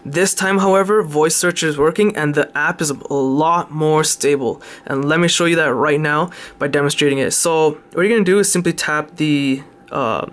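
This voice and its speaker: A man's voice: a little high, normal speed, few pauses, fairly bright tone. A man giving a casual, unscripted tech demonstration in an informal, hesitant way, often correcting himself. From Canada. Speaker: tech video presenter.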